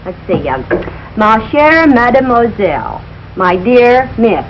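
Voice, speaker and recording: Wry fed-up radio housewife, 40s sitcom, super lively pitch, noisy muffled recording. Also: female voice, slow. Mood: patient. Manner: scolding, wry, matter-of-fact. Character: sensible, exasperated middle-aged wife and mother. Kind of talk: 1940s radio situation comedy acting.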